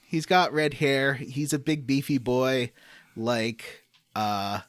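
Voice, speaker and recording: A man's voice: mid-range voice, fairly even intonation, slow pace, fairly bright tone, no echo. A man chatting casually as a podcast host about games, jokey and acting out characters' lines as he recaps. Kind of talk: casual gaming podcast chat.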